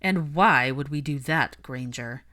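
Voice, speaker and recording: A guarded, snide man in an audiobook story, performed by a woman. The voice sits low and moves slowly, each phrase clipped, cold and deliberate. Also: sharp tone, exaggerated voice.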